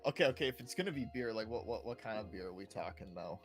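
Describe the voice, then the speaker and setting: A man's voice: fairly low pitch, expressive, quick pace. A young man hosting a casual gaming talk show in an upbeat way, repeating short phrases as he goes. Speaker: podcast host. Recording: music playing behind the voice. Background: background music.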